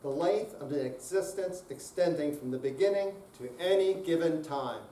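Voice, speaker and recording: Older male stage reader, high register, dramatic recitation, far from mic in an echoey room. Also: normal speed, dark tone. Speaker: amateur readers theater performer. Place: room at a senior center. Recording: distant, echoey room with faint noise.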